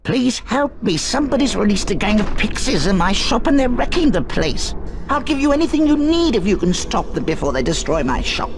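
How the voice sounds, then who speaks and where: An actress playing a distressed, grateful shopkeeper in a video game, pleading and effusive. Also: female voice, medium pitch, sing-song, brisk, noisy background. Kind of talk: video game character acting.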